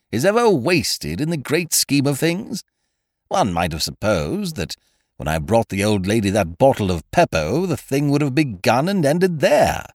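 A man's voice: medium pitch, dry recording, studio quality, pitched above the performer's own voice. A man doing a breezy, scheming chancer. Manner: expansive, self-assured, chummy.

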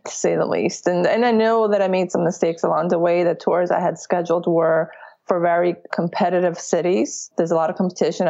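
A woman's voice: fairly low pitch, vocal fry, mellow. A woman from Italy, a small business owner, speaking candidly in a casual interview, with many fillers and restarts. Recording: dull lo-fi audio.

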